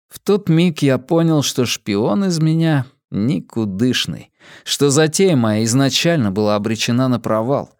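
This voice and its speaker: A man's voice: mid-range voice, a little mellow, no room sound, clean studio sound. A man reading a first-person novel as a scripted audiobook narration.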